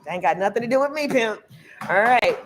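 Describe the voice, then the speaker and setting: A woman with a loud, carrying voice giving a casual tarot reading, emphatic and preachy, swearing freely. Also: on the high side, expressive, a little fast, faint music behind, rough recording. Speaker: tarot reader.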